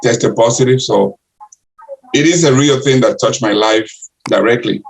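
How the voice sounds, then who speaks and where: An older man from the Republic, answering interview questions in halting English full of restarts. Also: mid-range voice, vocal fry, husky, rough recording. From Dominican Republic. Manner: halting, many restarts and uh fillers. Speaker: guest, city councilor and candidate.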